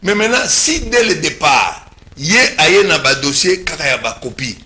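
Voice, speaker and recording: Bright-voiced Congolese man explaining politics. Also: a little high, sing-song. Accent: Congolese French. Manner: measured, explanatory, mixing French and Lingala. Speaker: political commentator. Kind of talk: studio political discussion.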